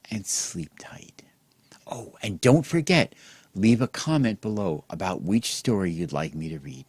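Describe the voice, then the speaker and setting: A man hosting and telling a mock children's bedtime story in an exaggerated gentle, sing-song way, as if speaking to small children. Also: on the low side, husky, no room sound. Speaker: host and storyteller.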